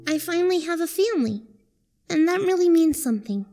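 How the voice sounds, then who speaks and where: Kind, earnest child narrator played by a woman, high-pitched and courteous, over clear music. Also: lively intonation, fairly quick, exaggerated voice. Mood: happy, sincere. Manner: polite, sincere. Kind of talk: first-person fandub narration.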